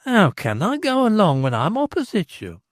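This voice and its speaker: A sly innkeeper who flatters and teases, his voice lifted a little and lively, as a male reader puts him on. He talks smoothly and playfully. The recording is dry and close. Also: high-pitched, normal speed, dry recording, pitched above the performer's own voice. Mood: flattering. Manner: smooth-talking, teasing.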